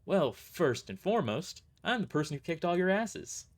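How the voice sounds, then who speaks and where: A man's voice: on the high side, sing-song, moderate pace, voice pushed up. A man voicing a cocky young woman mercenary leader, blunt and smugly mocking, in an audio drama. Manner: taunting, smug, blunt.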